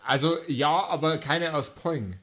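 A man's voice: a little high, measured pace, a bit bright. A man chatting casually in a bemused, blunt, colloquial way. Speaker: podcast player in an improvised audio play. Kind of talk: casual chat.

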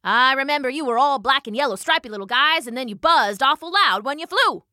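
A woman's voice: high-pitched, expressive, fairly quick, pushed and bright, no echo, studio quality, pitched above the performer's own voice. A woman voicing a tiny, eager, dutiful male soldier ant with clipped military delivery in a children's story. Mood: earnest.

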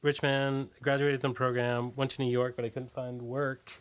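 A middle-aged American man being interviewed, chatting casually in a halting, informal way with "like" sprinkled through his sentences. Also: mid-range voice, level tone, clean tone, poor audio. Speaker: interview guest, musician.

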